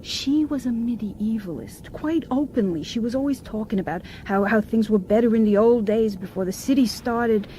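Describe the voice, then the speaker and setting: A woman's voice: fairly high pitch, normal speed, hardly any pauses, warm tone, a little hiss or hum, lightly acted, low-quality recording. An actress in a radio drama playing an anxious, guilty wife, hesitant and pleading as she speaks. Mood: hesitant, confessing. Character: anxious, guilt-ridden wife confessing to her husband.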